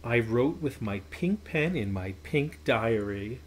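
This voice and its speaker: Animated man reading a children's storybook. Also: medium pitch, takes it slowly, slight background noise. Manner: expressive, emphatic. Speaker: picture-book reader. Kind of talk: children's storybook read-aloud. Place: small indoor playroom.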